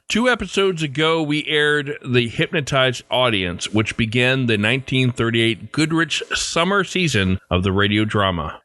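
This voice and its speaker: Guy hosting a podcast, medium pitch, chatty commentary, close dry sound. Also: pushed and bright, no room sound. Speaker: podcast host. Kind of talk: conversational commentary, partly scripted.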